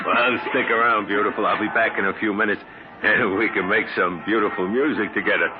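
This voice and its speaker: A man's voice: fairly low pitch, steady tone, fairly quick, phone-quality sound, heavy background noise, close to an everyday voice. An actor playing a dim, cocky detective's sidekick who talks in slangy wise guy fashion in an old radio drama. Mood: cocky, flirtatious. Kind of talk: 1950s radio drama acting. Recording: band-limited old radio, loud music behind.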